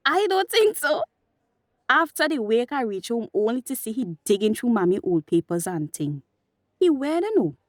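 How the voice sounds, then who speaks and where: A woman's voice: on the high side, big pitch swings, moderate pace, no echo, clean studio sound. A woman playing a worried, outspoken grieving daughter in a radio drama, speaking insistently in Tobagonian dialect. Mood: uneasy.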